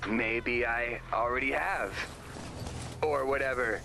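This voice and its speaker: An actor voicing a shy, awkward young man in a video game, hesitant and understated, often deadpan. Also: medium pitch, slow, vocal fry.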